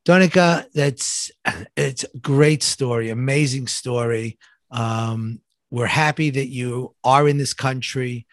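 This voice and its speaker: A man hosting a conversational interview, effusive and halting as he rephrases his questions. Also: mid-range voice, no room sound. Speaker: show host.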